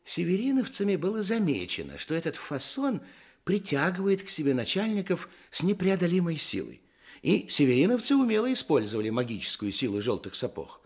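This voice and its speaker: An actor playing a storyteller in a Russian radio play, narrating with measured, gentle irony. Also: male voice, a little high, huge pitch swings, husky, heavily acted. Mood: wry, ironic. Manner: measured, ironic.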